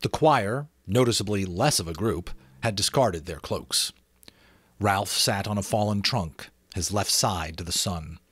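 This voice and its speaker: A man's voice: on the low side, unhurried, a bit bright, husky, no echo. A man narrating expressively in an audiobook style, as an amateur host with a casual touch.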